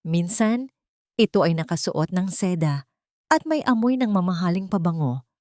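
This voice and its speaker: A woman's voice: on the low side, lively intonation, normal speed, warm tone, no room sound, studio quality, lightly acted. A woman with a Filipino accent voicing a mysterious, bitter elderly stranger giving cryptic warnings in an audio drama. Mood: grave warning.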